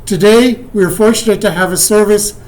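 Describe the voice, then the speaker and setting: A man, serving as worship associate, reading the welcome and announcements slowly. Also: high voice, fairly even intonation, a little mellow.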